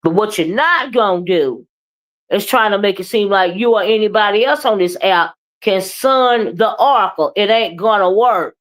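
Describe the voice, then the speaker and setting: A woman with a loud, boisterous voice, ranting bluntly in a live commentary and keeping her listeners in line with constant checks of "okay?" and "right?". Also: mid-range voice, moderate pace. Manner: blunt, profane, keeps asking "okay?" and "right?"; moody, confrontational.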